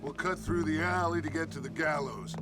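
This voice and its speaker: An actor playing a grandiose, vengeful American leader of Wild West outlaws in a video game, speaking in florid, commanding lines. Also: male voice, fairly high pitch, brisk, lightly acted. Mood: solemn, resolute. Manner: theatrical, commanding, florid speech. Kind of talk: dramatic video game dialogue. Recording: music behind the voice.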